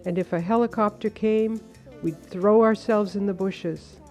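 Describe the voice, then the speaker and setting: A woman reading a translated first-person account as a measured, earnest voice-over. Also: medium pitch, steady tone, a little mellow, soft background music.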